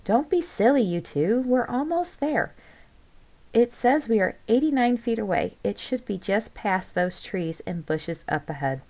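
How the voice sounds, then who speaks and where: A woman voicing a bold, bossy young woman leading her friends, brisk and dismissive, in a story. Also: on the high side, lively intonation, darkish tone, pitched above the performer's own voice.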